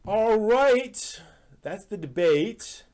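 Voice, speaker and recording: A man's voice: high voice, lively intonation, drawn-out words, darkish tone. A man hosting a live stream, talking casually and thinking aloud, often breaking off and rewording as he goes.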